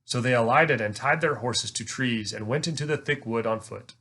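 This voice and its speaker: A man's voice: mid-range voice, moderate pace, pushed and bright. A man narrating a children's storybook, reading it aloud. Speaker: reader.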